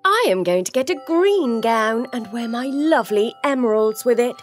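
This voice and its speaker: Woman acting a sneering, highish young stepsister. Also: expressive, normal speed, soft background music, lightly acted. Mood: boastful. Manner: sneering, snobbish. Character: spiteful, vain young stepsister. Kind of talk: children's cartoon voice acting.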